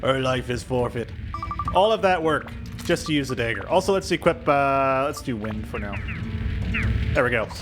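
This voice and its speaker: A man's voice: medium pitch, animated, normal speed, long pauses. A man giving casual video game commentary, thinking aloud as he weighs his options. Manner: thinking aloud, indecisive musing. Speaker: video game streamer doing a let's play. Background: video game music.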